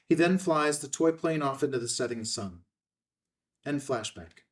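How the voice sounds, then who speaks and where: A man's voice: mid-range voice, moderate pace, far from the mic. A man narrating screenplay action lines at a table read. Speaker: stage-direction narrator.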